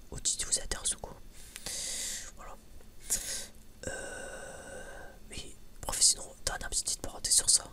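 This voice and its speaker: Soft whisper, breathy and unvoiced, ASMR chat, informal, hesitant, rambling. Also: extremely high pitch, monotone, a little slow, long pauses. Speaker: ASMR video creator.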